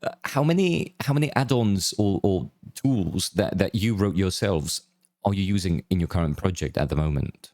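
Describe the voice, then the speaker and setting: Man hosting, very deep voice. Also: animated, normal speed, a little mellow, no echo, studio quality. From Czech Republic. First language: Czech. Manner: enthusiastic, frequent word repeats and restarts. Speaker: podcast host. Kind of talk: casual interview chat.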